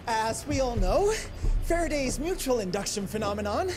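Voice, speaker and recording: A man's voice: very high voice, expressive, moderate pace, music in the background. A man playing a nervous young genius engineer in a video game, rambling in flustered technical jargon.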